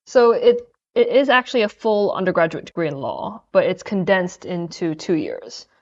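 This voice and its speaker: A woman's voice: fairly low pitch, expressive, normal speed, darkish tone, lo-fi sound. A young adult woman from Hong Kong, a podcast guest answering questions thoughtfully and with some hesitation. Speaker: podcast guest, law student. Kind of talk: casual interview chat.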